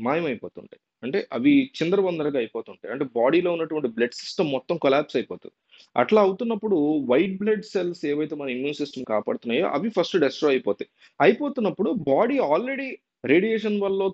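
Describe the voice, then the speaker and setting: Fast-talking man, dull recording. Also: fairly high pitch, darkish tone. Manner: repeats and rephrases points, mixes in English terms. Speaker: presenter. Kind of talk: informal unscripted explainer to viewers. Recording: dull, lo-fi sound.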